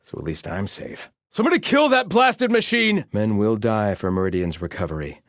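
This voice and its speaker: Proud devout soldier, low voice, very animated range, formal and disdainful, video game character, dry close recording. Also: male voice, normal speed, no echo. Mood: alarmed shouting. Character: haughty, devout male soldier of a sun-worshipping empire. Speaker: game voice actor. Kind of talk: scripted video game barks and lines.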